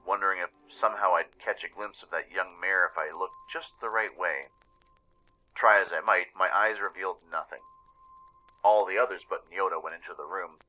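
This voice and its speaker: A man narrating a scripted first-person story dramatically, with deliberate emphasis. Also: deep voice, pushed and bright, poor audio.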